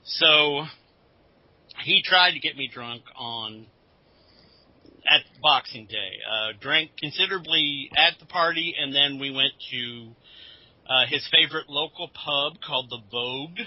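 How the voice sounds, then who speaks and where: A man's voice: fairly high pitch, unhurried, no room sound. Man chatting casually, slightly dull audio. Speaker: podcast panelist. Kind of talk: casual chat, telling anecdotes.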